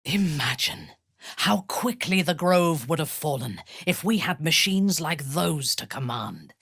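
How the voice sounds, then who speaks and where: A woman voicing a ruthless, haughty warrior zealot for a video game, speaking in an imperious, measured, menacing way. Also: sits low, animated, creaky, fairly bright tone, husky, no echo, studio quality, exaggerated voice. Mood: brooding. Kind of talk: video game character voice lines.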